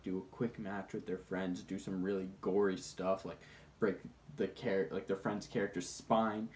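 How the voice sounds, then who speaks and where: A man's voice: fairly low pitch, a little mellow, slight background noise. A man giving casual, comedic film commentary in an informal, conversational way with mock-defensive asides. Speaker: film commentator presenting points. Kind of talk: casual comedic video essay, bantering with an unheard sidekick.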